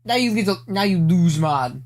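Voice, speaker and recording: A young man with a high-pitched, not very deep voice, live-streaming and chatting casually as he reacts to an old video, rambling, teasing his chat and poking fun at himself. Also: animated, measured pace.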